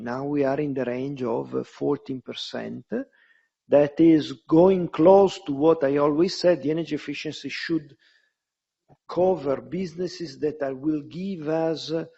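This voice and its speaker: An Italian-accented male executive with a creaky, husky voice explains company results on a conference call. He is halting and deliberate, circling back over phrases. The tone is mellow and the audio slightly dull. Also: on the high side. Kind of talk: answering questions on an earnings call.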